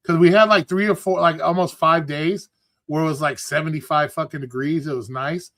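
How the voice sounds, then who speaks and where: A man with a slightly higher voice hosts a loose online chat, stuffy and congested as if coming down with a cold. He swears freely and gets defensive when challenged. The sound is close and dry. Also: fairly even intonation, fairly quick, a bit bright, dry recording. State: congested, losing his voice. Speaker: host. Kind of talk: casual livestream chat.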